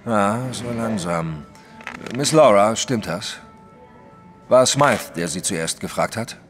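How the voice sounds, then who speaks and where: A hulking, skeptical detective in a radio play. His voice is fairly low and lively, with dry, commanding, probing delivery over faint music. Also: slow pace. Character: huge, brusque, skeptical French male detective. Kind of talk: German audio drama acting.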